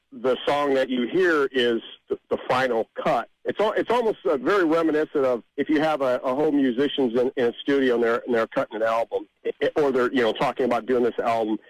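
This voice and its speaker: A man's voice: mid-range voice, dry recording, poor audio. A man speaking conversationally as a guest in a radio interview, explaining things and repeating points for emphasis. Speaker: interview guest, amateur songwriter.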